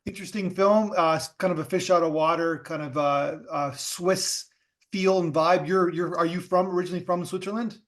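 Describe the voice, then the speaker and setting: A male podcast host with a slightly high voice chats casually with his guest. His questions wander and restart often, loose and conversational. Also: steady tone, moderate pace, fairly bright tone. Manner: rambling questions with many restarts. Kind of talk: casual interview chat.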